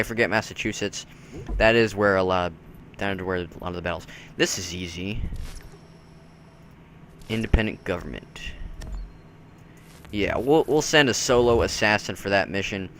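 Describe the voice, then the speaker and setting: A man with a fairly low but bright, forward voice gives casual walkthrough commentary on a video game. There is faint noise and game ambience behind him. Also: moderate pace.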